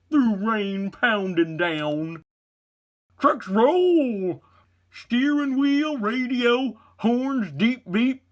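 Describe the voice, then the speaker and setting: Man playing a husky, folksy trucker. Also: high voice, fairly bright tone, full character voice. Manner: folksy, theatrical, goofy. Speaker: storybook read-aloud host. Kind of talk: comic children's read-aloud.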